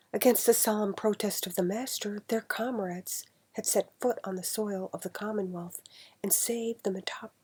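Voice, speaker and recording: A woman with a husky, breathy voice reading an old novel aloud in a deliberate, dramatic storytelling manner. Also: medium pitch. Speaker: amateur reader.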